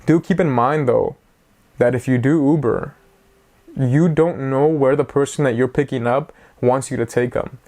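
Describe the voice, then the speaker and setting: A man's voice: medium pitch, moderate pace, darkish tone. A man in his twenties giving a casual, rambling talk to the camera, chatty and self-mocking. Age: 20s. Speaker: vlogger.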